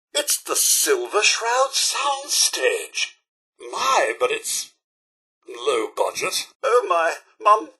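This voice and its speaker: Prim British robot butler, lively and high. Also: male voice, slightly rough, heavily acted. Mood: dismayed. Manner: prim, formal, theatrical, deferential. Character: fussy, polite male robot butler companion. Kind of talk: video game companion voice lines.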